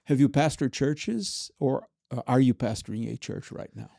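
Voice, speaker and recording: A man's voice: medium pitch, moderate pace, vocal fry, mellow, no room sound. A man hosting a podcast in a studio, asking friendly questions that are dotted with fillers. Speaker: podcast host. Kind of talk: podcast interview.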